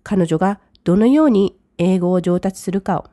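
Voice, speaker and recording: A woman who teaches English, reading each English line slowly and then translating it briskly. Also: fairly low pitch, mellow, dry recording, studio quality. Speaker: language teacher. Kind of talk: bilingual lesson commentary.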